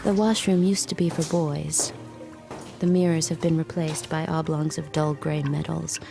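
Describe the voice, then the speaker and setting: An actress playing a haunted, intense young heroine in dramatized first-person audio drama. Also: female voice, deep voice, flat delivery, normal speed, vocal fry, music in the background. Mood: somber. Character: haunted, intimate young woman narrator-heroine, quietly intense.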